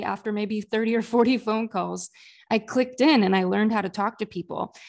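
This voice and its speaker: A woman's voice: medium pitch, vocal fry. A woman in her thirties, a career coach, telling her story fluently as a podcast guest. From United States. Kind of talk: casual interview storytelling.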